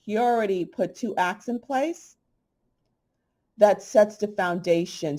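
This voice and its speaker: Blunt, slightly hoarse woman host. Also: on the low side, dark tone. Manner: blunt, emphatic, conversational. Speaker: holistic health channel host. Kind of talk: casual monologue to camera.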